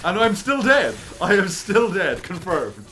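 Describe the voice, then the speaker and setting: A man's voice: high voice, big pitch swings, a little slow, a bit bright. A man co-hosting a gaming channel, joking and ranting in casual banter, laughing often.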